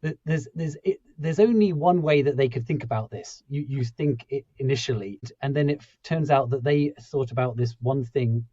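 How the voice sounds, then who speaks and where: A man's voice: medium pitch, normal speed, few pauses, mellow, no room sound. A man hosting a podcast interview, thinking aloud with many restarts and fillers. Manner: rambling, full of restarts and fillers. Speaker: podcast host. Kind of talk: conversational interview. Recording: dull, dry lo-fi audio.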